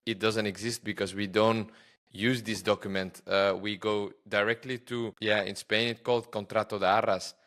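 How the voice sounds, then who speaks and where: A man's voice: lowish voice, level tone, normal speed, a bit bright. A man from Belgium with a Belgian Dutch accent, hosting a podcast and chatting with hesitant, non-native phrasing. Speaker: podcast host. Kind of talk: podcast interview, casual chat.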